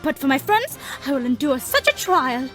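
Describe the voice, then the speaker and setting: A woman voicing eager, plucky teenage high school girls in a cartoon story. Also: very animated, moderate pace, music in the background. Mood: determined.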